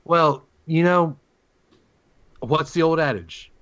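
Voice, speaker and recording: A man's voice: fairly high pitch, slow pace, fairly bright tone. A man hosting a sports podcast in casual, conversational chat. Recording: dull lo-fi audio.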